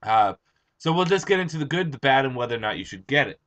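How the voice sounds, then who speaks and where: A young man with a hoarse, tired voice, just out of bed, rambling through a casual comic book review. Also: mid-range voice, sing-song, fast, a bit bright. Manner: rambling, chatty, with frequent "uh" and restarts.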